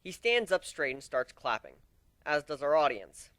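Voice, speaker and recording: Higher-voiced man reading a story dramatically. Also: very animated, normal speed, a bit bright, dry recording. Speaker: story narrator. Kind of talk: amateur dramatic story reading, first-person.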